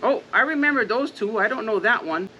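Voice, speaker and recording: A woman's voice: fairly high pitch, expressive, a little fast, dull audio, close to an everyday voice. Woman putting on a sleepy, grumpy puppet fellow, noisy recording. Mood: confused. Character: sleepy, grumpy, teasing male puppet character. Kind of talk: children's puppet show banter.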